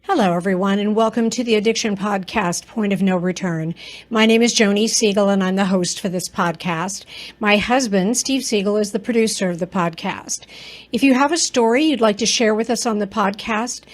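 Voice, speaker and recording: A woman hosting a podcast, reading an upbeat intro and then interviewing a guest. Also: medium pitch. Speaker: podcast host.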